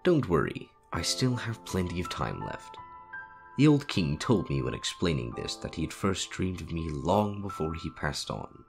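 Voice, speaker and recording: A man acts out a calm, wise older wizard headmaster in an audio story. The voice is very deep and creaky, speaking in measured, sober phrases that rise and fall expressively. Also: over music, heavily acted. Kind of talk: dramatic fan fiction audio reading.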